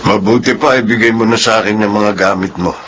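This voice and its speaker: A man's voice: fairly low pitch, fairly even intonation, a little fast, background noise, close to an everyday voice. An actor playing a middle-aged, firm and protective father in a Filipino radio drama, speaking earnestly. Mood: considerate. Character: firm, protective father defending his returned wife.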